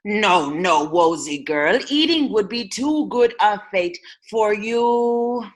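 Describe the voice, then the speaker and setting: A woman's voice: medium pitch, animated, takes it slowly. A woman playing a mischievous rhyming goblin gardener in a comic radio play, with a sing-song manner. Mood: menacing glee. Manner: sing-song baby-talk rhymes.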